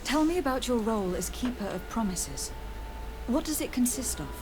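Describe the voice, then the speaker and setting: A woman's voice: a little high, very animated, normal speed. Composed noblewoman envoy, noisy ambience. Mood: diplomatic. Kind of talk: scripted game dialogue acting.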